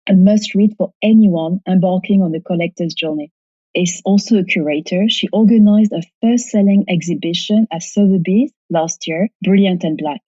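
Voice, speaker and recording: A woman hosting a podcast, reading a scripted introduction and then interviewing a guest in a measured way. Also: on the low side, dark tone, no echo, highs cut off. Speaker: podcast host, magazine editor.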